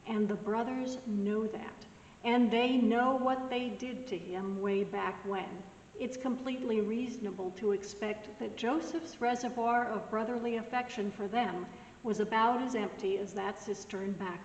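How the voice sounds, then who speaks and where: A woman pastor giving a soft-spoken, gently conversational sermon message. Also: mid-range voice, fairly even intonation, measured pace, a little hiss or hum. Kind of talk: recorded weekly sermon message. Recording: roomy echoing hall, mic at a distance.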